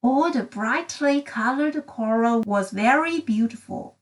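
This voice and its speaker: A woman reading a children's storybook aloud in an expressive, deliberate storytelling style. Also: fairly high pitch, far from the mic. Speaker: storybook reader. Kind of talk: bilingual children's read-aloud narration.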